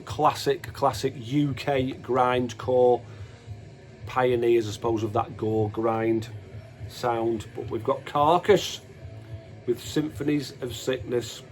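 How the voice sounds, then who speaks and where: Slow-paced man, casual show-and-tell. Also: medium pitch. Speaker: host of a music collection video.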